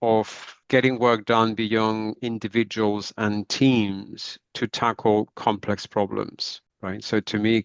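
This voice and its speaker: A man's voice: on the low side, smooth, no room sound, lo-fi sound. A middle-aged man from northwest Spain with a Spanish accent, a podcast guest who speaks reflectively and often closes his points with "right?". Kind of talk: conversational interview.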